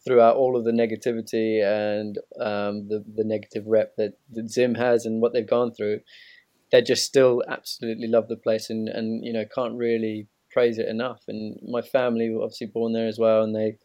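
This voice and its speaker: A man's voice: lowish voice, level tone, moderate pace, mellow, no room sound. A man in his thirties from Zimbabwe, telling his story conversationally as an easygoing interview guest. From Zimbabwe, moved to England as a child. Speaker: podcast guest, ex-professional cricketer.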